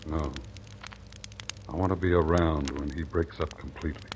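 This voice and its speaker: A man's voice: rumbling low, steady tone, moderate pace. An actor playing a hard-boiled, cynical small-town newspaperman with a grudge in old-time radio drama style. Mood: wry, evasive. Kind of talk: 1940s-50s radio drama acting. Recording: noisy, crackling old radio recording.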